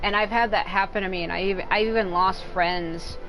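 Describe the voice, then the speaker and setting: A woman game streamer chatting casually and rambling while she plays, frank and profane, with loud silly outbursts. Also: mid-range voice, steady tone, a little fast, faint music behind, rough recording.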